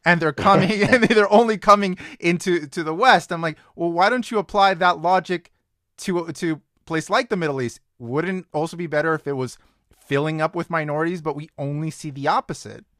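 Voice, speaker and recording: A man's voice: a little high, fairly quick, a bit bright, no room sound, studio quality. A young man hosting a podcast, asking his guest casual, conversational questions. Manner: conversational, with fillers and restarts. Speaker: podcast host.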